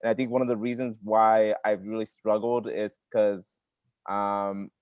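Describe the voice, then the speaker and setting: Low-voiced guy, spanish is his first language, earnest and halting on a video call. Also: little pitch movement, clear, resonant tone, no echo, dull audio. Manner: earnest, halting, many restarts. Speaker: workshop participant, credential student. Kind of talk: reflective personal sharing.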